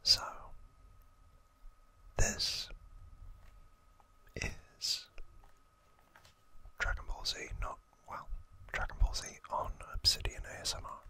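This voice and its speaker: Male ASMR host, thirties: breathy whisper, very unhurried, gentle, with pauses between short phrases. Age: 30s. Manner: slow, hushed, gentle delivery with pauses. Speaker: host of an ASMR video channel. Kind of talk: ASMR trivia talk, whispered.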